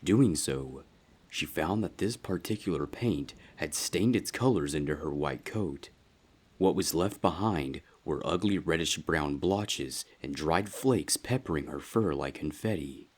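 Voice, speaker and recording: A man reading scripted fan fiction as a dramatic story narrator, with a husky voice. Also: a bass voice, a little mellow.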